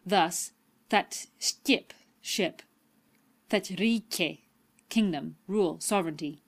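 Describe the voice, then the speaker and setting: A woman's voice: on the low side, slow pace, gravelly. A woman reading a language textbook aloud as a volunteer narrator, with careful, instructional enunciation. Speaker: volunteer audiobook reader.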